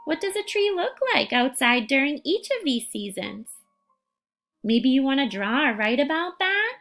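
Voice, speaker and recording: A woman reading a picture book aloud for young children and giving gentle instructions, phrasing things deliberately and carefully. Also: high-pitched, sing-song, soft background music.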